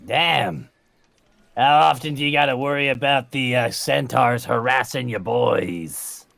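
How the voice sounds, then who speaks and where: A man's voice: mid-range voice, a little slow, creaky, fairly bright tone. A man chatting casually as a player in a tabletop role-playing game.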